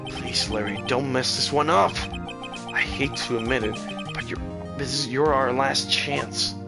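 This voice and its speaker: A man's voice: mid-range voice, a little slow, gravelly, loud music behind the voice. A man voicing an earnest young male defence lawyer in a game dub, subdued, hesitant and worried.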